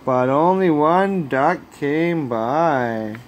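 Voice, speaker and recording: A high-pitched male storyteller reads a picture book to young children at a slow pace. His phrasing is deliberate and expressive. It is a homemade phone recording with a steady hum behind the voice. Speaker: storyteller reading aloud to children. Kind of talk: bedtime picture-book read-aloud. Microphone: handheld phone camera.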